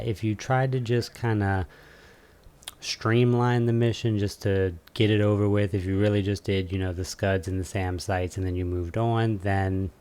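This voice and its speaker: A man's voice: on the low side, darkish tone. A man giving a casual, easygoing video game walkthrough as an instructional guide.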